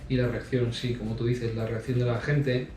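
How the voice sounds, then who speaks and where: A man answering interview questions in a relaxed way, with lots of pauses and restarts. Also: mid-range voice, distant mic, soft background music. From Spain. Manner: hesitant, many fillers and restarts. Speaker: interview guest. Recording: roomy sound from off mic.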